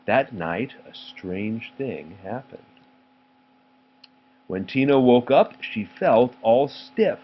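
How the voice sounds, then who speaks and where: A man reading a children's story aloud in an animated style. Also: medium pitch, slow pace, husky. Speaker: storybook reader. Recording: dull home recording, faint noise.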